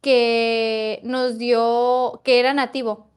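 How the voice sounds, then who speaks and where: A young woman talks through a class she watched in an easy, casual way. Her voice sits high, and she hedges and qualifies her points, filling pauses as she thinks. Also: flat delivery, clean tone. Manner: reflective, hedging, many fillers. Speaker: language teaching student. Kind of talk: casual conversation analyzing a class.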